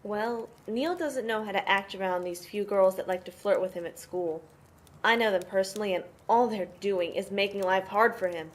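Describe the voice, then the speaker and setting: A woman's voice: mid-range voice, normal speed, natural voice. A woman playing a tough, protective sister who mothers her brothers, explaining things matter-of-factly in an amateur audio play. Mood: protective, firm. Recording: noisy amateur home recording.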